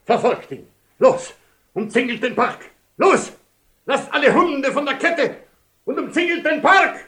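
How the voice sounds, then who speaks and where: A man's voice: very high voice, lively intonation, a little slow, a bit bright, full character voice. An actor playing a pompous, outraged aristocratic father in a radio drama, blustering with indignation. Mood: furious. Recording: roomy echo, off-mic.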